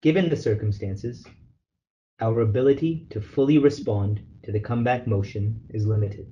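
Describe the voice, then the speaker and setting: A man lawyer reading a formal legal letter aloud on a conference call, in a measured, formal way. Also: on the low side, warm tone. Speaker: lawyer, representative counsel leading a call. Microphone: telephone conference call. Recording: dull conference phone call with noise.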